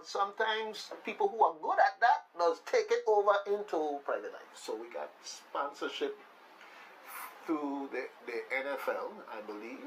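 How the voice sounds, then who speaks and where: A man's voice: on the high side, a bit bright. A man hosting a radio talk show in a casual, rambling, emphatic way, often repeating phrases. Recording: slightly distant mic with faint noise.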